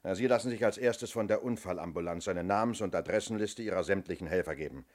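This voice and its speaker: An actor playing a senior police detective in a 1970s German radio play, curt, sardonic and commanding. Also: male voice, low voice, quick pace, a little mellow, lightly acted. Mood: brusque, dry. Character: brusque, dry senior police detective, impatient boss.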